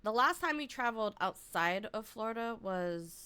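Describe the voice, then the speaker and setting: A woman's voice: on the high side, lively intonation, normal speed, bright, forceful tone, smooth. A young woman streamer with a slightly scratchy throat, chatting casually and giggling with her live chat. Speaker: VTuber streamer. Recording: music playing behind.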